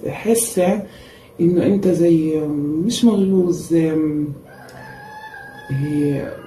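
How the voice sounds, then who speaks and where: A woman giving a casual tarot reading in a tired voice, repeating words for emphasis and pausing often with fillers. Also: on the low side, warm tone. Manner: repetitive and emphatic, with frequent pauses and fillers. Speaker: tarot reader. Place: indoor room at a table with cards and a candle. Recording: echoey room with faint background noise.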